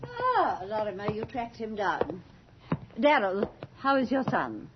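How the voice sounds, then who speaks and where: An actress in a radio drama playing an imperious, callous society hostess with a haughty, theatrical, commanding way of speaking. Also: female voice, medium pitch, huge pitch swings, slow pace, background noise. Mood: brisk, imperious. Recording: dull 1980s radio drama recording.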